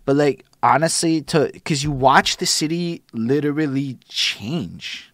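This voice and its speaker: A man's voice: a little high, unhurried, fairly bright tone, no echo. Slangy, rambling man on a dry recording. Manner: rambling, slangy storytelling with profanity. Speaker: podcast speaker. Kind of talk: casual chat.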